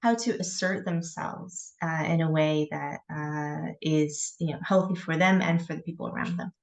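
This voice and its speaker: Middle-aged female coach, low-pitched, measured, hesitant delivery. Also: gravelly. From Michigan, USA. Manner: thoughtful, hesitant, with fillers and light laughs. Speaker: coach, podcast guest. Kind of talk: podcast interview.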